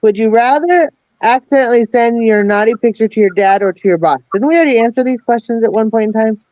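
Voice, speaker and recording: A woman's voice: fairly high pitch, fast talker, dry recording. A woman chatting casually on a livestream, playfully reading out would-you-rather questions. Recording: dull lo-fi call audio.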